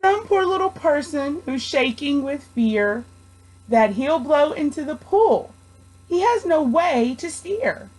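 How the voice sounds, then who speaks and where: Lady doing a kind earnest boy elephant from a kids book, slow n emphatic, pitch goes up and down lots. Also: lively intonation, darkish tone, rough recording. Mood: concerned. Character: gentle, earnest, protective male elephant. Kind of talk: children's storybook read aloud.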